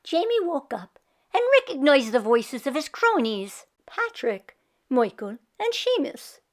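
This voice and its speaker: A woman narrates a children's story aloud. Her voice sits fairly high and moves with very lively intonation. The delivery is scripted, expressive storytelling. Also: measured pace.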